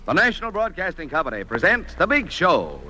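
Over clear music, a man announces in 1950s network radio style. His voice is high and swings widely in pitch, on a vintage broadcast. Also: wildly swooping pitch, a little fast, pushed and bright.

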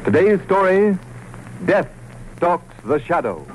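A man doing dramatic 1940s radio announcing in measured, declamatory phrases. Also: sits high, big pitch swings, slight background noise, poor audio.

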